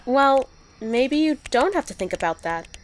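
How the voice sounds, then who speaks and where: A woman's voice: high voice, very animated, measured pace. A woman playing an encouraging, frank young adventurer in an audio drama. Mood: reassuring. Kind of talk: radio drama acting.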